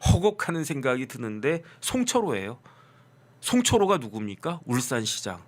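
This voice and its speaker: A man's voice: medium pitch, big pitch swings, normal speed. A man in his fifties, a lawyer hosting his own channel, giving casual political commentary full of rhetorical questions he answers himself and wry asides. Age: 50s.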